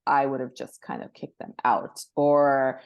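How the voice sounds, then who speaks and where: A woman with a low-pitched voice talks as a guest in a casual interview. She offers advice and small anecdotes, doubling words for emphasis. The sound is dry and close. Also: level tone, a little slow, clean tone, no echo, dull audio. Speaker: podcast guest, book marketing professional.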